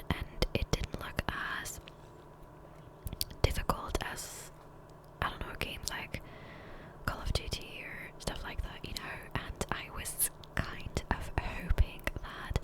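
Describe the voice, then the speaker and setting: A woman whispering softly and breathily in a chatty, rambling ASMR style over gameplay, close to a condenser microphone. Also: poor audio. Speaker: gaming ASMR creator. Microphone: close USB condenser microphone.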